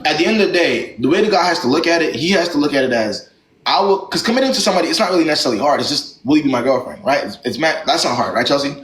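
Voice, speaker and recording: A man's voice: a little high, expressive, rapid-fire, echoey room, crowd noise, low-quality recording. A young man hosting a live stream who gives callers blunt, slangy relationship advice in a lecturing way.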